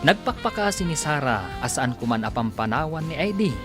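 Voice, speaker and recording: A man's voice: fairly high pitch, big pitch swings, brisk, music in the background. A man narrating and announcing a radio serial in a dramatic scripted style.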